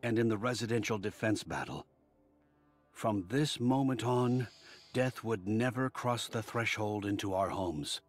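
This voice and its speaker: A man's voice: fairly low pitch, measured pace, no room sound, faint music behind. An actor voicing an earnest, admiring but cautious man in a video game, talking like an articulate storyteller. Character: earnest, admiring, cautious male fan of war heroes.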